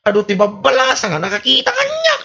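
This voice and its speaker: A man's voice: extremely high pitch, huge pitch swings, quick pace, vocal fry, sharp tone, lo-fi sound, full character voice. A man with an Ilocano Filipino accent playing a boastful drunkard son who complains indignantly in a comedy radio drama. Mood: wailing, aggrieved. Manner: exaggerated, wailing complaints to his mother.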